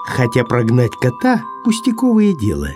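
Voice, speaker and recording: Storyteller for a children's tale: expressive scripted reading, extremely lively pitch, music underneath. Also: moderate pace. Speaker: narrator.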